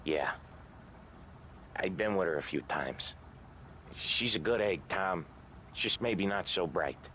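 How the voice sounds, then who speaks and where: An actor playing a gruff, earnest mob enforcer in a video game cutscene. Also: male voice, expressive, slow pace, long pauses, pushed and bright, slight background noise, heavily acted. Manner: gruff, low-key and earnest.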